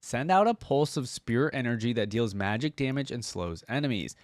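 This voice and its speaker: A man's voice: mid-range voice, expressive, fairly quick, a little hiss or hum. A man giving casual, conversational reaction commentary as a host talking directly to his viewers. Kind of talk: casual reaction commentary, sometimes reading aloud.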